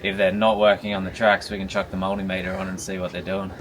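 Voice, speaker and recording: An Australian man on an off-road trip, explaining things in a relaxed, casual way. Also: low voice, fast. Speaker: fellow 4WD driver. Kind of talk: casual chat. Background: loud background music.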